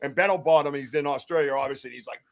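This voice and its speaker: A man from south of Boston with a thick Boston accent, chatting and joking as co-host of a live stream. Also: medium pitch. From south of Boston, USA. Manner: animated, laughing, rapid back-and-forth banter. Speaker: podcast co-host. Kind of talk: casual live-stream chat.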